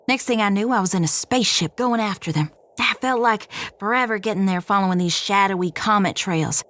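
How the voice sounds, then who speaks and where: A woman's voice: medium pitch, brisk, fairly bright tone, close to an everyday voice. A woman playing a folksy, plain-spoken and adventurous space cargo pilot in an audio drama, talking informally like a storyteller. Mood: wistful, wondering.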